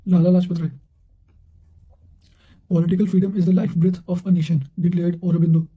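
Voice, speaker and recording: A man reading quotations and study notes aloud, haltingly, often stumbling and repeating words. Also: sits high, level tone, narrow, tinny audio. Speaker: narrator reading quotations for exam revision.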